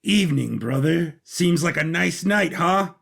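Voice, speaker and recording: A man's voice: on the high side, animated, measured pace, creaky, fairly bright tone, slightly rough, heavily acted. A man voicing a cocky male robot schemer, a twin brother with a glib, sardonic manner. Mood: menacing, sardonic.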